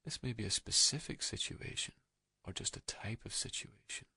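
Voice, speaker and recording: Calm guy doing guided meditation, real low voice w some fry, gentle and soothing, super dry close sound. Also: moderate pace, dry recording. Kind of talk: slow guided meditation, hypnotic narration.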